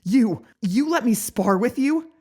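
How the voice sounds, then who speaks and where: A woman's voice: a little high, lively intonation, slow pace, darkish tone, husky, pitched above the performer's own voice, exaggerated voice. A woman voicing a hot-tempered young woman fighter in a fantasy audiobook, panicked and furious, with a shaky voice that cracks. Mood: panicked, hysterical. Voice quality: shaky, cracking when upset. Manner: frantic, dramatic outbursts. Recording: background music behind the voice.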